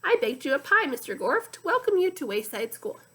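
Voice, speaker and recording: A woman's voice: high-pitched, big pitch swings, a little fast, bright, forceful tone, full character voice. Sing-song storybook cook, voiced by a woman. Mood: sweetly pushy. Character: overly sweet female school cook.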